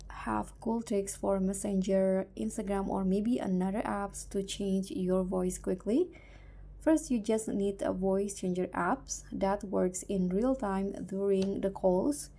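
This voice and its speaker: A woman narrates a how-to tutorial at a slow pace, with plenty of vocal fry. Her tone is soft-edged and mellow, and she explains each step in a halting, instructional manner. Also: mid-range voice. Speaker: tutorial host.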